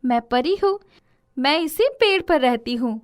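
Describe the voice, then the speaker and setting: Casting: kindly forest fairy, played by a woman, high-voiced, gentle and reassuring, for storybook audio. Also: animated, unhurried.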